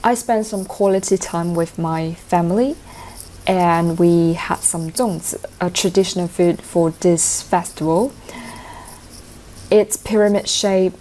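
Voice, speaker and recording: Low-voiced woman, cantonese first language, chatty informal english answers. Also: warm tone, slight background noise. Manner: fluent, informal, uses slang. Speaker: IELTS speaking candidate. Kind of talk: answering interview questions.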